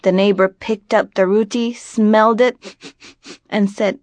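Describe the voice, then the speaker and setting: A woman with an American accent tells a folk tale as an expressive storyteller, in a deliberate, dramatic manner with phrases drawn out. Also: mid-range voice, dry recording. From grew up in Virginia, United States.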